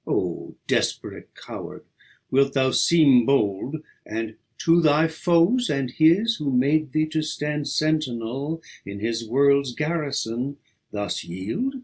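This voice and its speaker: A man recites verse for an audiobook at a slow, deliberate pace. His voice is husky and swings widely in pitch as he declaims, leaning firmly on each phrase. The delivery is dramatic and measured. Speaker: audiobook reader.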